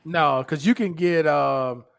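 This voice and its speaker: A man's voice: on the high side, takes it slowly, vocal fry, fairly bright tone. A man in a casual podcast chat, talking in a laid-back, slangy way and calling his friend bro and dude.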